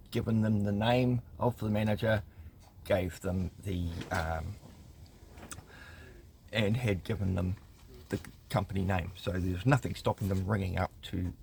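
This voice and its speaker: A man's voice: lowish voice, gravelly, darkish tone, slight background noise. A man, telling a customer complaint story in a wry, exasperated way and reading emails aloud with lots of ums. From New Zealand. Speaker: consumer rights vlogger.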